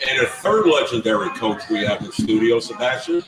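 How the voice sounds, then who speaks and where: A man's voice: medium pitch, lively intonation, fairly quick. The emphatic guy from North Jersey running a live sports show out of a busy, echoey restaurant, bantering with his guests. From North Jersey, United States. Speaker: sports talk show host. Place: live broadcast from a grill restaurant. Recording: roomy sound with crowd chatter behind.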